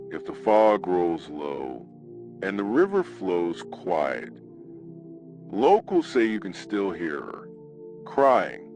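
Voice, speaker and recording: Very deep-voiced man narrating ghost stories. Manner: slow, dramatic, ominous delivery with weighted pauses. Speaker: host and narrator of a ghost story radio show. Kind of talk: scripted horror narration, vintage radio storytelling.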